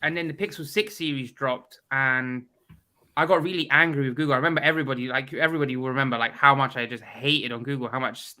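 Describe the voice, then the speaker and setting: A man's voice: medium pitch, steady tone, quick pace, pushed and bright. A man, a guest in a casual video call chat, talking bluntly and with strong opinions while stuffed up from a cold.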